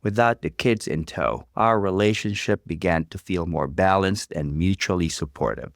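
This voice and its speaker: A man reading a story aloud as scripted, expressive narration. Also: sits low, moderate pace, creaky, no echo, clean studio sound.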